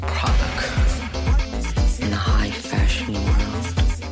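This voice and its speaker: A person speaking in an unhurried way in an archive quote used as a spoken outro. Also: sits low, monotone. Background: loud club dance music.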